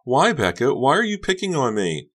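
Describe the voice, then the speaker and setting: A man's voice: fairly low pitch, big pitch swings, normal speed, fairly bright tone, breathy edge, pitched above the performer's own voice, heavily acted. A man voicing a bewildered, wounded teenage girl in an audiobook, innocent, plaintive and protesting. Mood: tearful, protesting. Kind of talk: audiobook character dialogue.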